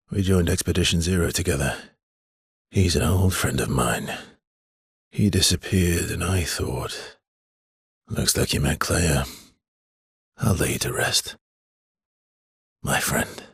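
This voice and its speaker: Tired gentle game hero dude, low n really raspy breathy voice, slow and understated. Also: male voice, no room sound, clean studio sound, heavily acted. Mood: somber. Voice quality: husky, breathy. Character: weary, gentle, guilt-burdened adult male adventurer. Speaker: video game voice actor. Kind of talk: video game dialogue lines.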